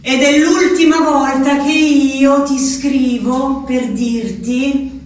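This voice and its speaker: An actress performing a slow theatrical monologue as a woman confined in an asylum writing to her husband, with a lilt. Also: high voice, steady tone, slight background noise. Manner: slow, intimate, shifting between hushed and sing-song. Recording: echoey, distant radio theatre recording.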